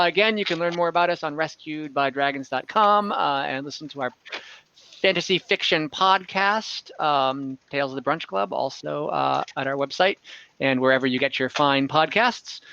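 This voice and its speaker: A man improvising tabletop game narration as game master, in a halting, hesitant delivery. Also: fairly high pitch, creaky, pushed and bright, smooth, lo-fi sound. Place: dry room, online game session.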